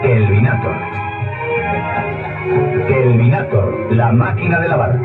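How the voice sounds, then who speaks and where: An actor playing an old-time radio commercial announcer with a slow, commanding, drawn-out delivery. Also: male voice, medium pitch, lively intonation. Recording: tinny lo-fi sound with loud music, echoey room from far off.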